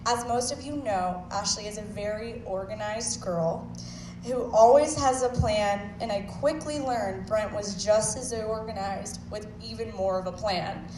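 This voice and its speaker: A woman's voice: medium pitch, unhurried, background noise. A woman from the bride's family giving a heartfelt wedding toast to the couple. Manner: warm, heartfelt, laughing. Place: wedding reception hall. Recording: echoey hall, from far off.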